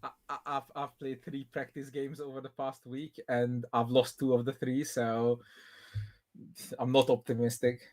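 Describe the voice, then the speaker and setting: A man's voice: mid-range voice, level tone, a little slow, a little mellow. A man joining a live stream as a guest game designer, teasing with dry humor and often repeating his first words. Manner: stammers with repeated starts, wry teasing humor. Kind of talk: casual chat on a live stream.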